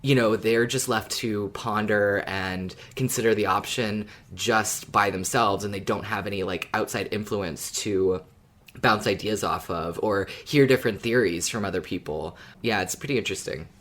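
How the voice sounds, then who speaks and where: A man with a slightly low voice co-hosts a casual fan podcast. He speaks informally and reflectively, feeling his way through each thought with some hesitant phrasing. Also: moderate pace, a bit bright. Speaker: podcast co-host. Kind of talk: casual fan discussion chat.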